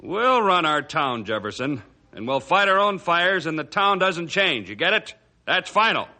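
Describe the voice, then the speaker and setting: A man's voice: fairly high pitch, sing-song, normal speed, sharp tone, full character voice. A man playing a stubborn, scornful small-town mayor in a radio drama, curt and bossy. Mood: defiant.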